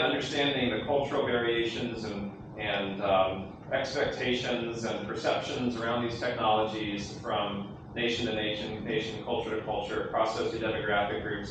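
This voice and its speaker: Emphatic man speaking in an echoey hall. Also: fairly low pitch. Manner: enthusiastic, emphatic, repeats phrases. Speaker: conference co-presenter. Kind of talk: conference closing remarks. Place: large conference hall. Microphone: handheld mic that keeps cutting out. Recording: echoey hall, distant from mic, background noise.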